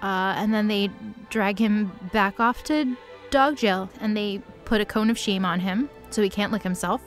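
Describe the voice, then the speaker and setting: A woman's voice: mid-range voice, moderate pace. Sarcastic, slangy woman storyteller over music. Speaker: co-host recapping a fantasy story. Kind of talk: casual comedic storytelling with acted dialogue. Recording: music under the voice.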